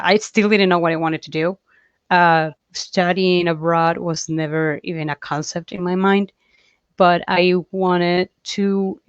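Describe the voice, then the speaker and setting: A young woman from Chile, a comic artist chatting as an interview guest, talking freely with halting restarts and easy laughs. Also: on the low side, clean tone, dry recording. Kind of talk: casual interview chat. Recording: dull, dry lo-fi call audio.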